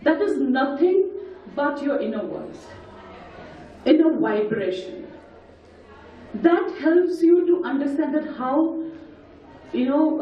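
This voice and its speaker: A husky, high-pitched woman with an Indian English accent guides a group through breathing drills, counting each step aloud like a patient instructor. Her voice comes from some distance in a big, very echoey hall. The sound is dull and muffled. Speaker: voice and breathing workshop leader. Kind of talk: leading a group workshop with breathing and sound exercises.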